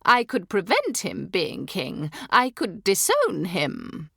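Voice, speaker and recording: A woman's voice: medium pitch, very animated, takes it slowly, pushed and bright, no room sound, studio quality, full character voice. A woman reading the part of a haughty, imperious old dowager duchess with a cold, cutting manner.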